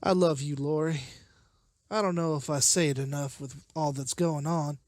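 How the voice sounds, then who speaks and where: A man's voice: on the high side, steady tone, moderate pace, no echo, studio quality, close to an everyday voice. A man voicing a distraught, weary survivor and father in a motion comic, with strained emotion. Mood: anguished, loving. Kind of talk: comic book voice acting.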